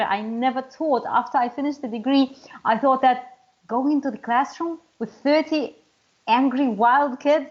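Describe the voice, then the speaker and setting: A woman's voice: on the high side, muffled recording. A woman, a Hebrew teacher answering interview questions hesitantly with many restarts. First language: Russian. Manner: hesitant, many restarts and fillers, laughs easily.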